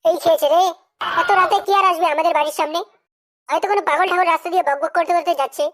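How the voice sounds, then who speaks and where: A man's voice: very high voice, steady tone, rapid-fire, fairly bright tone, voice pushed up. A man voicing a brazen, scheming village wife in a comedy cartoon, taunting and blunt. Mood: wary.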